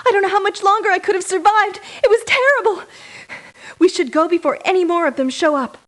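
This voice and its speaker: A woman's voice: extremely high pitch, fairly quick. An actress playing a frightened, fragile woman rescued from captivity in a game, emotional and pleading. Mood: frightened, relieved. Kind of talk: scripted game voice acting.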